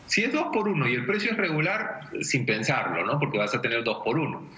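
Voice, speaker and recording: A man's voice: mid-range voice, sing-song, brisk, sharp tone. A man in his forties with a Peruvian accent, giving didactic, conversational money advice as an expert on a video call. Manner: didactic, illustrates with everyday examples. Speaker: personal finance expert on a TV segment. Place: indoor room at home. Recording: dull, echoey video call.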